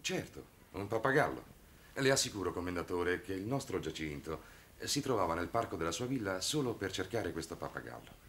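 An actor playing a calm, earnest priest in a 1960s television drama, speaking in a measured, articulate, firm way. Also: male voice, deep voice, exaggerated voice. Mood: earnest, patient. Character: calm, earnest priest defending his young charges. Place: studio set of a furnished room. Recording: noisy old television soundtrack.

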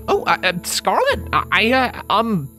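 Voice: medium pitch, wildly swooping pitch, slow, sharp tone, exaggerated voice. An actor voicing an awkward, bookish male unicorn stallion, flustered and stammering, with music underneath.

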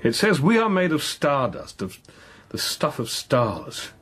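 An actor playing a troubled, earnest priest in a radio drama, hesitant and full of questions. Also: male voice, fairly high pitch, big pitch swings, measured pace, fairly bright tone, slightly rough, heavily acted. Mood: troubled, questioning.

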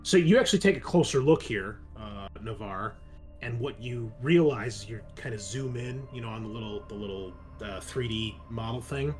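A man narrating a tabletop roleplaying game as game master, improvising and explaining things in a halting way. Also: mid-range voice, soft background music.

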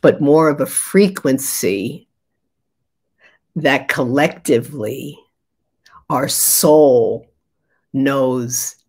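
An older woman with a raspy voice, a spiritual guide leading a soft, meditative session with chanting, heard over a video call from a room at home. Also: rumbling low. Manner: slow, soft and meditative, with pauses.

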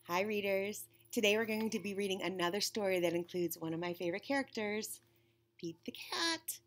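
A woman in her forties reading a children's storybook aloud, with an expressive, deliberate storytelling delivery. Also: mid-range voice. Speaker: children's storybook reader, teacher. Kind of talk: read-aloud for young children. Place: classroom, indoors.

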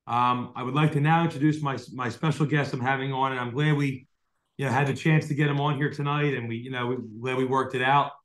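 A man's voice: mid-range voice, fairly even intonation, fairly quick, roomy sound. A young man hosting a podcast interview over a video call, talking with lots of fillers and restarts. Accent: South Jersey. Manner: rambling, many fillers and restarts. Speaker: podcast host. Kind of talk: casual interview. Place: dim home room at night. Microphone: large desk mic on video call.

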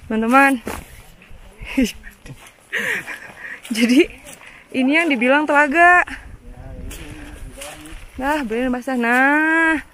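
A woman's voice: sits high, slow, pauses often, sharp tone, a little hiss or hum. A woman, chatting casually and laughing often as she vlogs a mountain hike outdoors on a phone held at arm's length. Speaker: hiking vlogger. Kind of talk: casual vlog narration. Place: open mountain savanna outdoors. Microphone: phone camera at arm's length.

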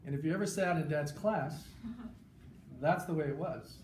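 A man with a somewhat high voice speaks to an audience in an informal tribute. He is earnest and enthusiastic, like a pastor among friends. The room echoes strongly, and noticeable noise sits behind him. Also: moderate pace. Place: conference session room, recorded from a distance. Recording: roomy, distant mic, loud background noise.

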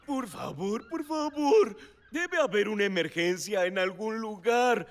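Eager, very high-voiced young firefighter. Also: male voice, lively intonation, unhurried, soft background music. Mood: eager, restless. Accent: Latin American Spanish. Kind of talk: animated children's cartoon dub.

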